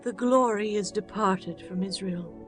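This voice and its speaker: A woman's voice: mid-range voice, unhurried, over music, close to an everyday voice. A woman playing a solemn, sorrowful ancient Israelite in dramatized scripture. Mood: mournful.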